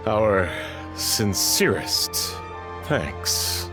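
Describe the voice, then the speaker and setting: Slow, pompous genteel merchant. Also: male voice, lowish voice, sing-song, music in the background, exaggerated voice. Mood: stiffly grateful. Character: genteel, pompous male merchant, feigning innocence. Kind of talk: video game voice acting.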